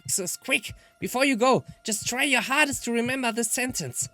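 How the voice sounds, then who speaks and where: A man's voice: very high-pitched, normal speed, pushed and bright, faint music behind, pitched above the performer's own voice, full character voice. A man who is a native German speaker voicing a level-headed, insistent young woman in a dramatic visual novel read-aloud. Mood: urgent, pleading.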